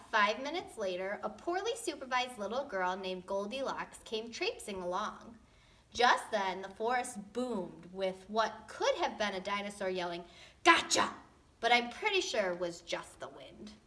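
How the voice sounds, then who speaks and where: A woman reading a storybook aloud to children in an expressive, playful, tongue-in-cheek way. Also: mid-range voice.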